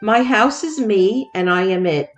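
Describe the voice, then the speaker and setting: A woman's voice: medium pitch, unhurried, echoey room, soft background music, natural voice. A woman voicing a contented, eccentric storybook man with a simple, assured manner. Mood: proud, serene.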